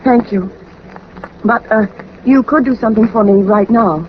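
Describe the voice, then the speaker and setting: A woman's voice: on the high side, normal speed, lightly acted. An actress playing a frightened young woman in danger, speaking politely and urgently in 1940s radio drama style. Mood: anxious, earnest. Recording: dull, noisy old 1940s radio recording.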